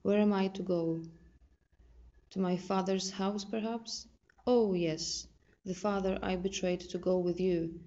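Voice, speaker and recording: A woman's voice: medium pitch, fairly even intonation, measured pace, dark tone, close to an everyday voice. A Polish-accented woman performing a bitter, sarcastic monologue as a betrayed and abandoned wife, in a dull lo-fi recording. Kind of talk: dramatic monologue recital.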